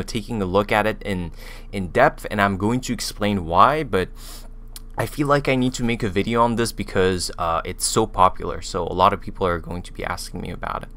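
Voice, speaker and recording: A man with a French accent speaks in a low voice with a bright, forceful tone. He talks casually and hesitantly, often pausing on fillers and restarting. He is close to a studio microphone in a small room. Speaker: language learning YouTuber. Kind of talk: casual video review. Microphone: studio mic with pop filter on boom arm.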